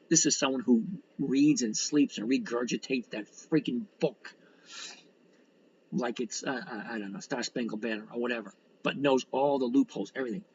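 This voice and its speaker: A sixty-year-old man with a gravelly, mid-pitched voice hosts a casual livestream. He rambles in a blunt, folksy way as he fields comments. The sound is a little dull, with faint noise behind him. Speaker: livestream host and stock trader. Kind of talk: casual livestream chat, reading and answering comments.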